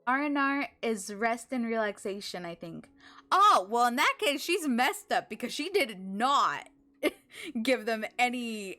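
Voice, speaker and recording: A woman live streaming a video game, chatting casually with viewers and thinking aloud in an expressive, exclamatory way. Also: on the high side. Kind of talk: casual chat with stream viewers while gaming.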